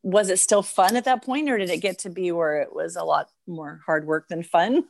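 A woman's voice: lowish voice, moderate pace, gravelly. A woman hosting a podcast, bubbly and enthusiastic, rambling a little and apologizing as she asks her questions. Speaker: podcast host, former dancer. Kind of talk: casual remote interview.

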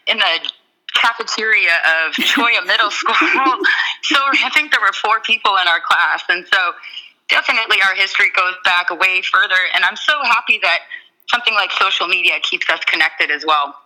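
A woman, the guest, explaining her urban farm in a casual interview, steady with frequent ums. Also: mid-range voice, moderate pace, low-quality recording.

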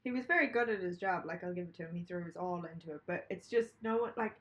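A woman's voice: fairly low pitch, fast. A woman in a casual chat, blunt and laughing, swearing freely.

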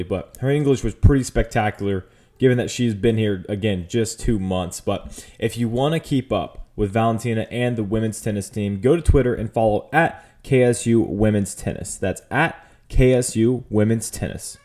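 A man's voice: on the low side, brisk, darkish tone. A man hosting a sports show, giving a closing commentary and sign-off to listeners.

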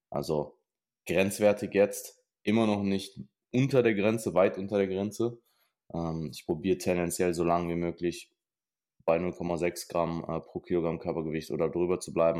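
Male podcast host and coach, very low monotone voice, hesitant and explanatory. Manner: hesitant, many fillers, explanatory. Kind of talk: casual podcast chat over a video call. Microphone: remote call setup.

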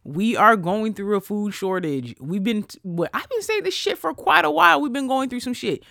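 A man hosting a casual solo podcast, ranting in an animated, profane way that swings between loud bursts and quiet asides. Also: high voice, moderate pace, creaky, no room sound, clean studio sound. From Haitian family. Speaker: podcast host.

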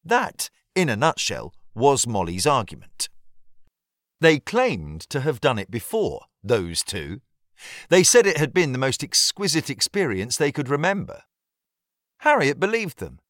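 Animated British male audiobook narrator. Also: mid-range voice, unhurried, no room sound, clean studio sound. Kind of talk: scripted narration of a period novel.

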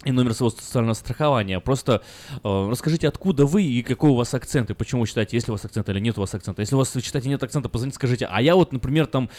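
A male radio host talks fast and jokes, often tripping over his own words. His voice sits at a middle pitch. He speaks from a studio. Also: darkish tone. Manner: quick, joking, stumbles over words. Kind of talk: casual radio chat.

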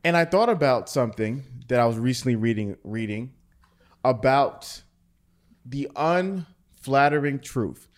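A man hosts a relaxed conversation, rambling a bit and laughing easily. His voice sits at an everyday middle pitch. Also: unhurried. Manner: easygoing, rambling, laughs often. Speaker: podcast host. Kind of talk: casual chat.

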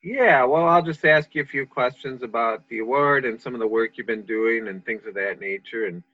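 A man interviewing as a reporter, halting and often repeating his words. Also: medium pitch, no room sound. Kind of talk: interview questions. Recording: dry, dull sound.

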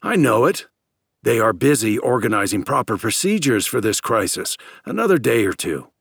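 A man's voice: mid-range voice, sing-song, moderate pace, creaky, a bit bright, breathy edge, no room sound, studio quality, exaggerated voice. A man voicing an evasive, controlling captor in an audiobook, placating and patronizing. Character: evasive, controlling male captor, coolly placating, secretly menacing.

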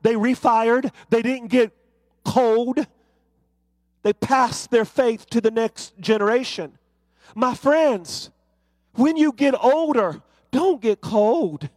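A man's voice: extremely high pitch, slow pace, a little mellow, dry recording. A man preaching a sermon, building to fervent, rhythmic refrains.

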